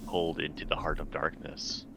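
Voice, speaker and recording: A man chatting casually and haltingly as a player on a tabletop gaming show. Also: very deep voice, flat delivery, bright, forceful tone. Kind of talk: casual chat. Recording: clearly noisy background.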